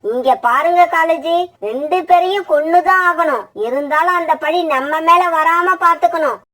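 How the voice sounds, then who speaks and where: A vengeful mother bird from a children's cartoon, acted by a woman. The voice is high and sharp-edged, full of scolding menace, and sounds a little away from the mic. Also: moderate pace, recorded from a distance. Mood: scheming. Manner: threatening, scolding. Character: scheming, vengeful mother bird with a hot temper. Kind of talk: children's cartoon story acting.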